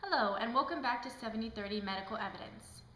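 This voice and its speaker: A woman's voice: on the high side, fast, a bit bright, lightly acted. A woman playing a cheerful, polite talk show host in an amateur scripted puppet skit. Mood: welcoming. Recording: echoey room, faint background noise.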